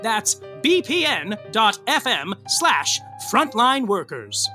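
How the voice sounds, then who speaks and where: A man reads podcast credits with theatrical flair. His voice is bright and sharp, and his pitch swings widely. Soft music plays underneath. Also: big pitch swings, slow. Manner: playful, flamboyant delivery. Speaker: narrator reading end credits.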